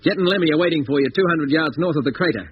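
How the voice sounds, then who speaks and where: Guy doing grumbly dry astronaut, slightly high voice, old dull radio play. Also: very fast, close to an everyday voice. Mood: dry grumbling. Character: gruff, grumbling engineer astronaut on lunar expedition. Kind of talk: 1950s radio drama acting. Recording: dull, faintly noisy 1950s radio recording.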